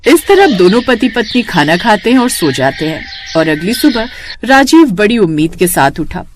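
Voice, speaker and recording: A woman's voice: mid-range voice, sing-song, moderate pace, background noise. A woman narrating a scripted cartoon moral story as a storyteller.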